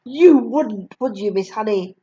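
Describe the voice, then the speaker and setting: A woman's voice: lively intonation, measured pace, mellow. A woman voicing a huge, cruel headmistress who sneers and barks insults at children, on a dull lo-fi recording. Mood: sneering. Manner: booming, sneering, spits insults. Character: huge bullying female headmistress, cruel, contemptuous.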